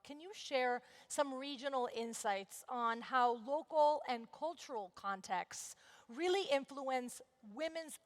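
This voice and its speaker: A woman from Venezuela moderating a conference panel, asking polished questions built on statistics. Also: high voice. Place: large conference hall. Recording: long hall reverb.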